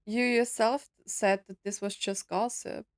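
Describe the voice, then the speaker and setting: A woman game streamer giving casual playthrough commentary in short, unhurried reactions and read-out dialogue. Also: mid-range voice, steady tone, no echo, studio quality.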